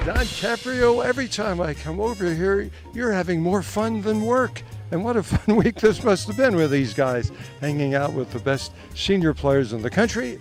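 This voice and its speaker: A man's voice: high-pitched, animated, normal speed, faint music behind. A man hosting a tennis show, asking upbeat questions at an outdoor tennis event. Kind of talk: on-location interviewer.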